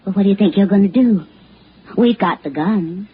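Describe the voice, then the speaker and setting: An American actress playing a hard, impatient, snappish gun moll in a 1960s radio crime drama. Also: female voice, mid-range voice, moderate pace, breathy edge. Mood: threatening, impatient. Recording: muffled, noisy old radio broadcast.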